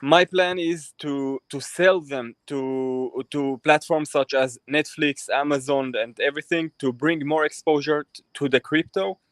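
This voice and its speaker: A man from Israel, pitching his crypto project in a hesitant chat full of ums and restarts. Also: steady tone, unhurried, few pauses, fairly bright tone, no echo. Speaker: crypto project team member. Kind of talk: casual livestream chat.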